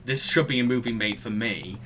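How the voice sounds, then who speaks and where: Bright-voiced man hosting a podcast. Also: mid-range voice, fairly quick, a little hiss or hum. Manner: laughs often, quotes his own reactions. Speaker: podcast host. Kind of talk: casual podcast chat.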